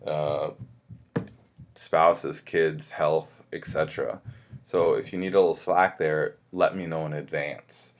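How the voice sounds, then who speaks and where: A man speaking as a college instructor, walking students through a course in an informal, conversational and reassuring way with self-corrections. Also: very deep voice. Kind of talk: informal course orientation lecture, explaining syllabus to students.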